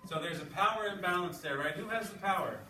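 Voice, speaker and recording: Higher-voiced male teacher, echoey noisy classroom. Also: fast talker, recorded from a distance. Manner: thinking aloud, often checking with "okay" and "right". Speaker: English teacher. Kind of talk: classroom lesson.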